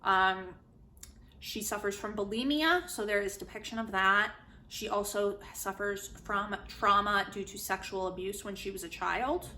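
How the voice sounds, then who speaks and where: A woman giving a casual, chatty spoken book review, retelling and commenting in an animated way. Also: medium pitch, a little slow. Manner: animated, chatty, acts out quotes informally. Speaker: book reviewer, host of a literary video series.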